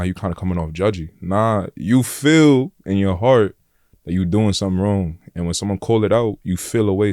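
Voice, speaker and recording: A young man with a New York accent and a deep voice, talking bluntly and casually as a podcast guest, full of slang and "like" and "bro". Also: a little mellow, dry recording, clean studio sound. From Flatbush, Brooklyn, New York. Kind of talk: casual conversation.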